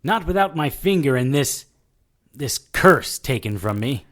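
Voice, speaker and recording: A man's voice: mid-range voice, big pitch swings, a little slow. An actor playing a wry, impulsive, tough detective who narrates his own story in an audio drama. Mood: defiant. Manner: wry, hard-boiled. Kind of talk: first-person audio drama narration and acting.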